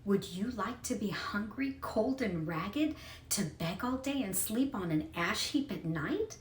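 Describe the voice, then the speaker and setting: A woman narrator voicing an indulgent, thoughtful mother with a gentle, patient manner in a storybook. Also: mid-range voice, animated, unhurried, lightly acted. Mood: questioning. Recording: echoey room, distant mic, faint noise.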